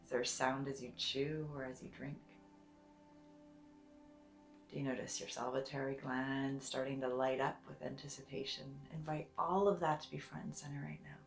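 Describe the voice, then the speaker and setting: A woman leading a guided meditation in a soft, soothing, deliberate way, sometimes breathy, with long pauses. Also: a bass voice, little pitch movement, reverberant. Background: soft music.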